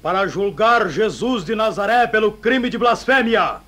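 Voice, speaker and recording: An actor playing a stern, pompous high priest presiding over a trial in a declamatory religious radio drama. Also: male voice, lively intonation, measured pace, sharp tone, full character voice. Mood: stern, accusing. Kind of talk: old-fashioned religious radio drama acting.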